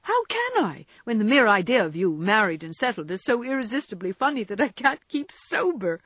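Spirited young storybook heroine, played by a woman narrator, high and swooping, exclamatory, playfully scolding. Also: sing-song, fairly quick, a bit bright, dry recording. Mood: teasing.

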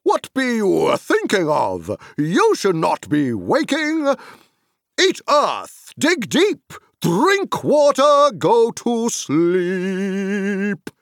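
A man's voice: high-pitched, wildly swooping pitch, very slow, no room sound, clean studio sound, voice pushed up, full character voice. A man voicing a boisterous, jolly old forest dweller who sings and bellows in a rustic sing-song dialect. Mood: booming jolly. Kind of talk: audiobook character voice.

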